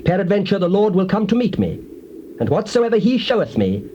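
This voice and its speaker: Solemn, highly expressive biblical prophet. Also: male voice, fairly quick. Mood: solemn, resolute. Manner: theatrical, declamatory. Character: Balaam, solemn biblical prophet, quick to anger. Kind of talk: dramatized scripture acting in archaic English. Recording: noisy, dull old cassette tape.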